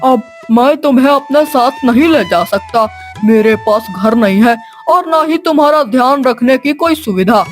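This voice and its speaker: A woman's voice: high voice, animated, moderate pace, faint music behind. A woman voicing a proud, benevolent wandering holy man, solemn and self-pleased, in a cartoon story.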